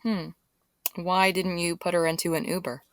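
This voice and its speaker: A woman's voice: lowish voice, little pitch movement, normal speed, dry recording, close to an everyday voice. An actress playing a skeptical, probing female police detective in an audio drama.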